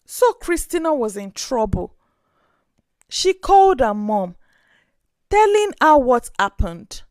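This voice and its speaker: A woman narrating a scripted story in an expressive, dramatic way. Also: sits high, slow, dry recording, studio quality.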